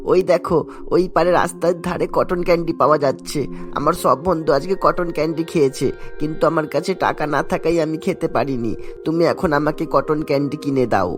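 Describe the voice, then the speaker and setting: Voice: medium pitch, a little fast, faint music behind, close to an everyday voice. A performer voicing an affectionate son in a cartoon, speaking in an earnest, coaxing way. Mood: anxious, coaxing. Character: earnest, affectionate son, child then young man. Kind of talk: Bengali cartoon voice acting.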